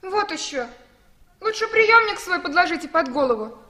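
A woman's voice: very high voice, sing-song, moderate pace, pushed and bright, exaggerated voice. An actress playing a reserved young woman in a 1960s radio play, answering in a terse, aloof way. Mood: aloof, annoyed. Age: young adult. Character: reserved, curt young woman fending off advances.

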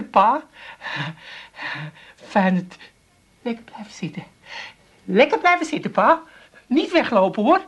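An actress playing a flustered, fussing Amsterdam housewife who coaxes and repeats herself in a comic radio play. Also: female voice, on the high side. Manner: fussy, coaxing, repetitive. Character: fussing, flustered working-class Amsterdam housewife humouring old father-in-law.

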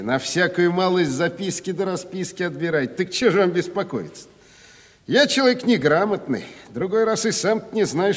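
An actor playing a crafty, uneducated provincial timber merchant in a Russian radio play, wheedling and mock-humble in a folksy way. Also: male voice, on the high side. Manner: wheedling, mock-humble, colloquial peasant turns. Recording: reverberant 1970s radio play recording.

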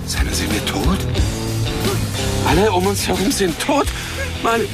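An actor playing a dazed, frightened young junkie on a trip in a radio crime drama, drawn-out and disoriented. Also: mid-range voice, huge pitch swings. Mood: dazed, panicked. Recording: loud music behind the voice.

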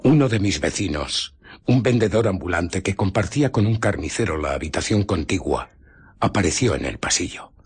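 An actor playing an embittered, furtive invisible scientist who recounts his escape in a theatrical, often hushed radio drama style. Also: low voice, expressive, normal speed, breathy edge, full character voice.

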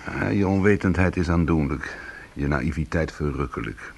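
A man's voice: extremely low pitch, normal speed, breathy edge, exaggerated voice. A man playing a bitter, sardonic small-time ex-convict in a Dutch radio play, protective and combative. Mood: bitter, wry. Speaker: lead actor and first-person narrator.

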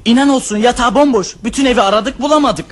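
A bossy teenage son. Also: male voice, very high-pitched, quick pace, a little hiss or hum. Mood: earnest. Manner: plotting and matter-of-fact, a bit bossy. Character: eldest son, a clever, bossy teenage boy. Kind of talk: 1960s radio drama acting.

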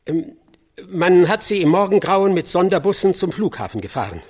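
A solemn news guy on location, husky highish voice, halting phrases, radio play. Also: big pitch swings, a little mellow, exaggerated voice. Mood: earnest. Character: solemn American field reporter with a hushed sense of drama. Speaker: field reporter. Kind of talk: acted on-location news report in a radio drama.

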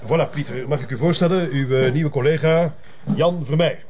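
A man's voice: a little high, moderate pace, darkish tone, lightly acted. A man playing a harried, evasive police chief in a crime drama, with a Flemish Belgian accent and a hesitant, blustering, placating manner. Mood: officious. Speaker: police commissioner. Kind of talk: naturalistic TV crime drama acting.

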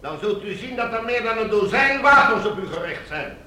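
A smug villain ruling a Mars colony, in a high, sharp voice. He is mockingly polite and commanding, in radio drama style. The room rings with echo. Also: expressive, brisk, slight background noise, heavily acted. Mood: smug, menacing.

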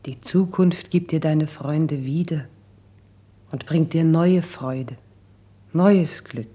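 Scheming court lady in an old verse radio drama, low husky breathy voice, takes her time. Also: warm tone, full character voice. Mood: soothing. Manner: measured, poetic, intimate declamation. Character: cultivated court lady, scheming confidante, ambitious, self-questioning.

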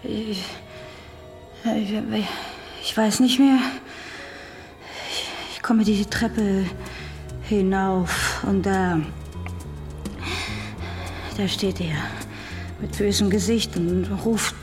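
An actress playing a confused, traumatised psychiatric patient in a radio drama, stammering and repeating words. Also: female voice, mid-range voice, fairly even intonation, extremely slow, pauses often, a little mellow, faint music behind. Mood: confused, anxious.

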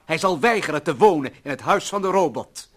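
An actor playing an earnest, idealistic employee who defends humanity in solemn, bombastic radio-play oratory. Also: male voice, on the high side, expressive, a little fast. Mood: defiant. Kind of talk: declamatory older radio-play acting.

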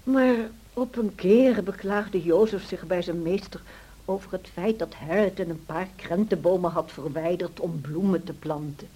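Radio drama housekeeper, middle-aged, loyal and anxious, earnest storyteller, soft-edged husky voice. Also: female voice, lowish voice, normal speed, low-quality recording. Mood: earnest, concerned. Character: Nelly Dean, loyal anxious housekeeper recounting past events.

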